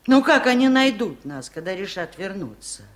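A woman's voice: medium pitch, very animated, normal speed, close to an everyday voice. An older woman playing a wise, lonely village aunt in a 1970s radio play, speaking in a folksy, musing way. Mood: wistful, earnest.

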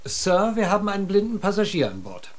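A man's voice: high-pitched, sing-song, fairly quick, slight background noise. An actor playing a dutiful ship's officer in a radio drama, reporting formally to his superior. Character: dutiful male ship's officer, deputy expedition leader.

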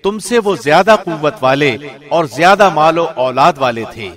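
A man with a fairly high voice reads translated scripture from a script. His delivery is formal and deliberate, in the manner of a radio presenter, with each phrase carefully enunciated. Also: lively intonation, fairly bright tone.

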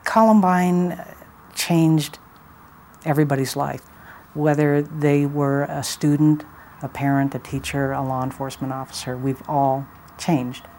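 An American woman recalling events in a halting, somber way, as in a documentary interview. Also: low-pitched, steady tone, mellow, a little hiss or hum.

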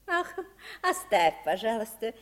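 A woman playing a gentle, indulgent mother in a radio play. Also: fairly high pitch, big pitch swings, unhurried. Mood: soothing, protective. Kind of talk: 1950s Soviet radio play acting.

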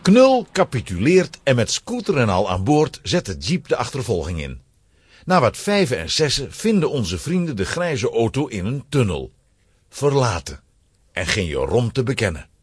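A man's voice: medium pitch, wildly swooping pitch, moderate pace, slightly rough, dry recording. A man narrating a children's audio drama from a script, as a storyteller.

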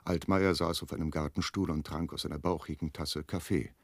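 A man's voice: extremely low pitch, fairly even intonation, a little fast, vocal fry, husky, dry recording, full character voice. An actor playing an anxious, hesitant man who narrates his own crime story in a German radio play. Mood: measured, reflective.

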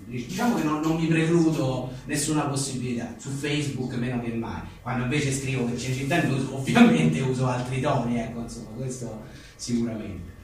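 A man's voice: mid-range voice, fairly even intonation, brisk, darkish tone, a little hiss or hum. Very echoey room, distant mic, man, informal storytelling, wry, digressive. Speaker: guest, journalist and author being interviewed. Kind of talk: informal book talk, rambling anecdotes. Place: echoing indoor venue at a live book presentation.

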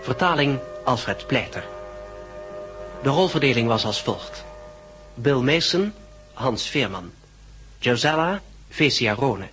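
A man's voice: medium pitch, expressive, takes it slowly, pauses often, fairly bright tone. A man announcing in the formal, scripted style of 1960s radio credits. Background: music.

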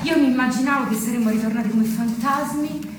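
An actress playing a restless, nostalgic, argumentative younger sister in naturalistic stage drama dialogue. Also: female voice, sits high, a little fast, lightly acted. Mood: nostalgic, anxious. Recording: distant, very echoey, noisy theatre recording.

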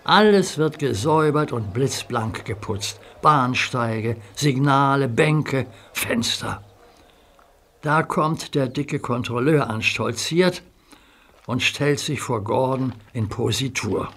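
Male narrator, children's audio story, calm and measured, very slow, noisy background with train sounds. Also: mid-range voice.

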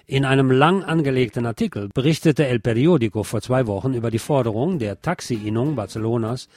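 A man's voice: medium pitch, fairly quick, a little mellow, no echo, studio quality. A man hosting a radio programme, reading scripted local news and adding his own commentary.

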